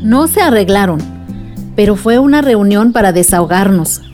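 An actress playing a gentle aunt telling a story to a child in a radio drama. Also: female voice, mid-range voice, lively intonation, normal speed, faint music behind, lightly acted. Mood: gentle, reflective.

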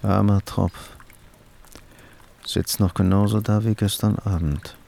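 An actor playing a scornful, brooding hatter musing condescendingly to himself in a radio play. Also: male voice, on the low side, steady tone, slow pace, vocal fry, dark tone, exaggerated voice. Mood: quiet disdain. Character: brooding, scornful male hatter musing about his neighbour. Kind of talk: radio play inner monologue.

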